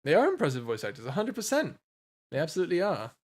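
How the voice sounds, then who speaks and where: Higher-pitched joking male streamer. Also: quick pace, husky. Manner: animated, joking, sweary. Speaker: livestream host, voice actor. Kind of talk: casual chat with viewers, reading comments.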